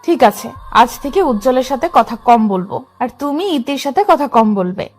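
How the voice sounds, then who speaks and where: Casting: female actor as possessive, scolding, loving young wife for romance audio drama, very high pitch, music underneath. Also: animated, normal speed. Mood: jealous, scolding. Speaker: female lead of an audio love story.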